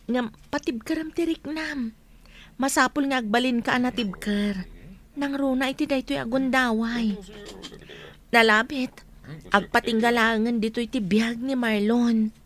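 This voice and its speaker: A woman's voice: fairly high pitch, fairly even intonation, measured pace, a bit bright. A woman playing a kind, supportive village friend in a radio drama, speaking earnestly and with sympathy. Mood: gentle, consoling.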